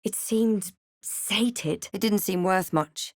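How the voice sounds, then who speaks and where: A woman playing a brooding fantasy adventurer who recounts her journey in measured, literary first-person game narration. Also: medium pitch, dry recording, studio quality. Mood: reflective. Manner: measured, reflective, literary storytelling.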